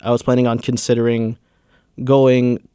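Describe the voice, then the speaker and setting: A man's voice: medium pitch, little pitch movement, moderate pace, dark tone, smooth, dry recording, clean studio sound. A young man, a student, answering interview questions in a casual, earnest way with many fillers. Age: young adult. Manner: earnest, filler-heavy with many likes and uhs. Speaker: student guest.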